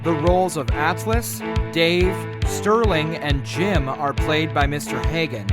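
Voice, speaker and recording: Male credits announcer over loud music. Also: on the high side. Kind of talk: scripted narration. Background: loud instrumental music.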